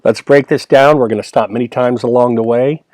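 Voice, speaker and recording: A man's voice: medium pitch, steady tone, a little fast, a little mellow, no echo. A man hosting a film analysis in a casual, enthusiastic, conversational way, talking like a teacher walking viewers through clips.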